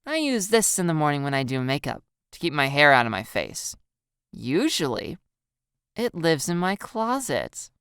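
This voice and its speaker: Woman voicing a teasing, high-lilting teenage schoolgirl. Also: big pitch swings, moderate pace, fairly bright tone, clean tone, no echo, studio quality, heavily acted. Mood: friendly. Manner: playful, mock-innocent, amused. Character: teasing, gleeful teenage schoolgirl with a mischievous streak.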